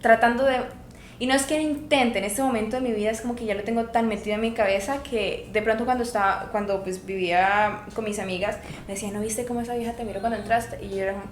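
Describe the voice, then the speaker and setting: A woman's voice: on the high side, fairly even intonation, quick pace, a little hiss or hum. A woman in her twenties with a Colombian Spanish accent, co-hosting a podcast and telling her own stories in a chatty way, laughing often. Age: 20s. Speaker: podcast co-host. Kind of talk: casual chat. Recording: echoey room with faint ambience.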